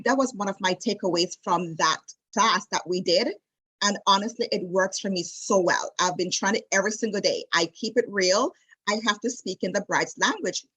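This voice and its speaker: A woman in her late forties with a Jamaican accent, a wedding travel specialist chatting as an interview guest in a lively storytelling way, with many fillers and restarts. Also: medium pitch, slightly rough, poor audio. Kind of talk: casual interview chat.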